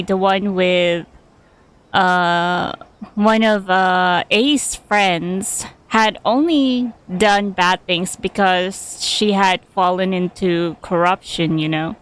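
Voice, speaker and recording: A woman's voice: mid-range voice, fairly bright tone, clean tone. A woman streaming a game with casual commentary, hesitantly thinking aloud as she goes.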